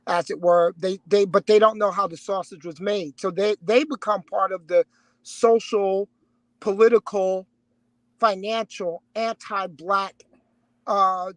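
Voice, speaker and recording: A man's voice: high voice, fairly bright tone, no echo. A man arguing as a guest in a heated panel debate, stopping and restarting mid-sentence and leaning on analogies. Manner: halting restarts, argues through analogies.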